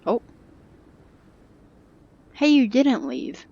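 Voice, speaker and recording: A woman's voice: a little high, very animated, extremely slow, warm tone, breathy edge. A woman giving casual gameplay commentary, reacting to the dialogue with joking sarcasm, on a slightly muffled mic. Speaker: game streamer.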